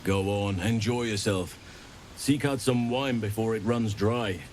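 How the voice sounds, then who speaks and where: A man playing a gentle, modest adventurer in a video game, courteous, measured and self-deprecating. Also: lowish voice, slight background noise, natural voice. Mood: gentle, wry. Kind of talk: video game character acting.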